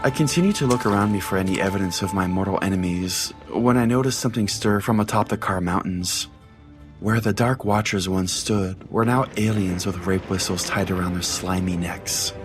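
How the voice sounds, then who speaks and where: A man's voice: low-pitched, normal speed, natural voice. A man playing a brash, foul-mouthed pastor, telling a comic story in the first person as a podcast host. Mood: grim. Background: prominent music.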